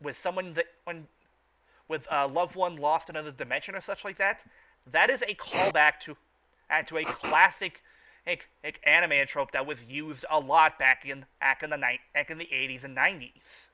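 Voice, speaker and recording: A man hosting a casual podcast, talking off the cuff in a halting way with stammered, repeated words. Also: on the high side, pushed and bright. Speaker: podcast host. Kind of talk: casual unscripted podcast chat.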